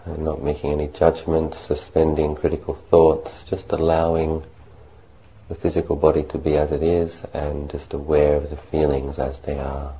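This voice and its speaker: A man leading a guided meditation as a monk, speaking gently and unhurriedly in short phrases with long pauses. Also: extremely low pitch, completely flat delivery, low-quality recording.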